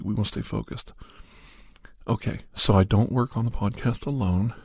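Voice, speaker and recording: A man hosting an ASMR podcast in a soft, breathy, husky voice with a mild head cold, chatting casually close to the microphone. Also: lowish voice, no echo. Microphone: studio condenser microphone, close.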